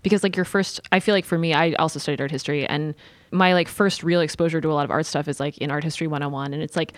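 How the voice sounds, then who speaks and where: A woman's voice: sits low, steady tone, racing, dry recording. A woman speaking informally as a panelist in a casual, conversational discussion. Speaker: podcast panelist.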